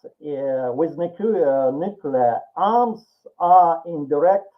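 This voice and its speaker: Tinny-sounding older Russian man, high-pitched voice. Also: expressive, slow pace. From Soviet Russia. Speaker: academic panelist. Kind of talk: prepared seminar presentation. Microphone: video call. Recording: tinny phone-like online call.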